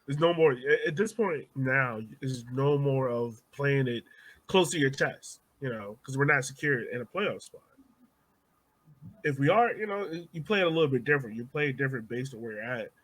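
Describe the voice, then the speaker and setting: A man's voice: mid-range voice, animated, moderate pace, a bit bright, low-quality recording. A man hosting a casual soccer podcast, talking informally and emphatically like a devoted fan. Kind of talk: casual sports podcast chat.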